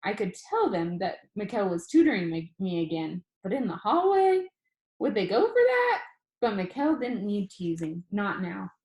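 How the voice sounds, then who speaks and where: Female storybook reader, mid-range voice, expressive first-person narration, noticeably roomy sound. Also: mid-range voice, normal speed. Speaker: reader reading a novel aloud. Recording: roomy, echoing room sound.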